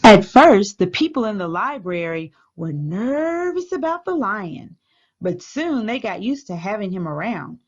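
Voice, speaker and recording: A woman reading a children's storybook aloud as a teacher would, clearly, deliberately and expressively. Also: medium pitch, very animated, muffled recording.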